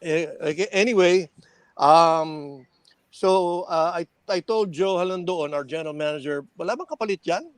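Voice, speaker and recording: Older Filipino man with high-pitched voice. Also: moderate pace, dry recording. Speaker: veteran radio DJ sharing stories. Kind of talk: casual reminiscing chat, mixing English and Tagalog.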